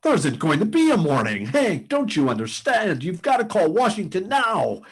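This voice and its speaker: An actor playing a frantic, halting test pilot pleading to warn of doom in a sci-fi radio drama. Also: male voice, sits high, wildly swooping pitch, breathy edge, full character voice. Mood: desperate pleading. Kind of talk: live performance of 1950s sci-fi radio drama.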